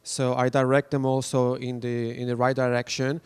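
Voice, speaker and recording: Hesitant man, flat smooth voice. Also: medium pitch, moderate pace. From Spain. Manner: hesitant, many uh fillers, non-native phrasing. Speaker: international team member. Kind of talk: conference presentation. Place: conference room.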